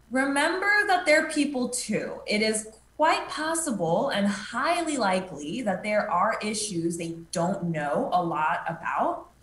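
A young woman giving a prepared instructional talk in a clear, structured, explanatory way over a video call. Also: medium pitch. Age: young adult. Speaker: advocacy training presenter. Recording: roomy, echoey webcam audio with noise.

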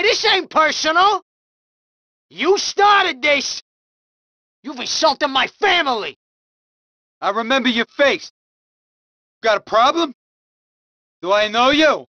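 A woman's voice: on the high side, bright, forceful tone, dry recording, dull audio. A woman voicing a snarling young mob thug. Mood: enraged shouting. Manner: snarling street-tough threats and taunts. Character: hot-tempered male mob thug, young, brash. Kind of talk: video game combat barks.

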